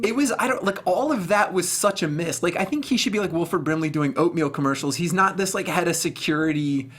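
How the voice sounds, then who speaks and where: A man's voice: a little high, brisk, fairly bright tone. A man talking casually and emphatically on a film review panel, with slangy, incredulous joking. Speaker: film review show host.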